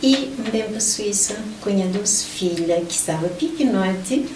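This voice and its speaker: A woman's voice: mid-range voice, lively intonation, measured pace. A middle-aged woman from Portugal telling her life story as an interview guest. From Portugal (Lisbon). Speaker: interview guest, motivational event organizer. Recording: roomy, distant mic, faint background noise.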